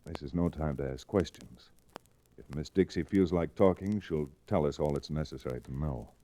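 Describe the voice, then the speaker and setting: An actor playing a level-headed, educated frontier lawyer hero in an old-time radio western, calm and measured. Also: male voice, very deep voice. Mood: calm, serious. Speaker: lead actor and in-character narrator. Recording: restored vintage radio drama recording.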